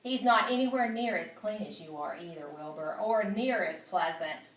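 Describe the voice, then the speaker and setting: An aging lady spider from a storybook voiced by a woman, courteous and measured, with a dry critical streak and some weariness. Her pitch sits in an ordinary female range. The room echoes around her and the microphone is a little way off. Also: animated, natural voice. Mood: critical, confiding. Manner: polite, measured, dryly critical. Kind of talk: children's storybook reading. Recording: roomy echo, distant microphone.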